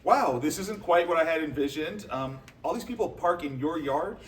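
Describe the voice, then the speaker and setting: An actor playing a nerdy, eager young academic at a comedy table read, hesitant and polite. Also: male voice, a little high, expressive, normal speed, lightly acted. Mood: awkward amusement. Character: nerdy, eager-to-please young academic boyfriend, awkward outsider. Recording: distant mic, noisy room.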